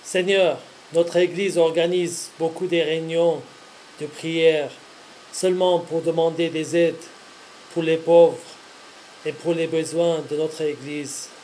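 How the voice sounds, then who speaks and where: A man speaking earnestly in short, deliberate phrases, as a religious host giving scripted devotional teaching. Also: fairly high pitch, steady tone, a little mellow, a little hiss or hum, rough recording.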